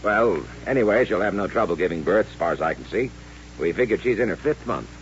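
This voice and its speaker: A man's voice: low voice, lively intonation, a little fast. A man acting in an old-time radio drama as a plain-spoken, affable frontier doctor, frank and conversational, hesitating over delicate words. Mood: matter-of-fact. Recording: muffled old radio recording with hum.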